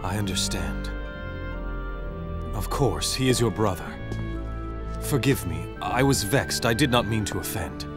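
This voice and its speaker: An actor playing a proud, brooding young warrior bent on revenge in a video game, formal and intense. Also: male voice, on the low side, animated, a little slow, pauses often. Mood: subdued, apologetic. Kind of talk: dramatic video game voice acting. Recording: music under the voice.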